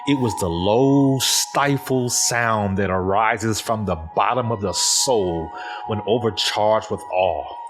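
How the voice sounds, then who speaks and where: A man's voice: lowish voice, slow pace, music in the background. A man playing an obsessive, paranoid murderer in dramatic gothic horror narration, theatrical and emphatic, repeating his words. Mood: hushed suspense. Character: mad, obsessive murderer confessing, paranoid and gleeful.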